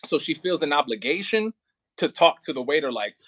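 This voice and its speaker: A man coaching an actor casually as an audio play director, thinking aloud in halting, repetitive phrases and sounding encouraging. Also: on the high side, animated, background noise.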